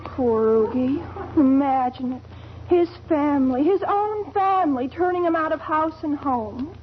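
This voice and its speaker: High-pitched, melodramatic teenage girl. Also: expressive, a little slow. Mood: indignant. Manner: theatrical, overwrought, big words. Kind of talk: 1940s radio comedy acting. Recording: dull, noisy 1940s radio recording.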